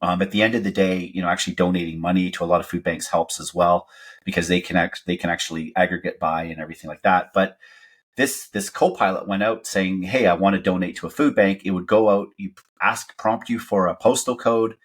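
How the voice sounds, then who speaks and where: A man's voice: low voice, steady tone, brisk, breathy edge. A man from Canada, co-hosting a podcast in rambling, hesitant casual talk. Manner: rambling, hesitant, lots of fillers and restarts. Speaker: podcast co-host.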